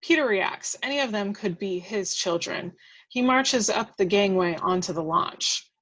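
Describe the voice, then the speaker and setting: A woman's voice: medium pitch, animated, a little slow, fairly bright tone, rough recording. A woman reading scripted action descriptions aloud at a table read, now and then stumbling and correcting herself.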